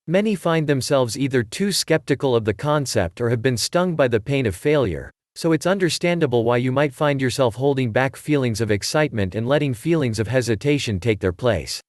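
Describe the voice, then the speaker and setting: A man narrating a scripted marketing explainer in a measured, articulate, instructional way. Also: medium pitch, lively intonation, no echo, studio quality. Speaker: voice-over narrator.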